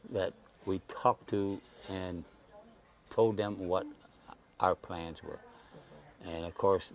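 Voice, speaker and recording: A man in his eighties, a guest on a talk show, speaking slowly and haltingly and repeating words as he searches for them. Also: low voice. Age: 80s. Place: Saipan.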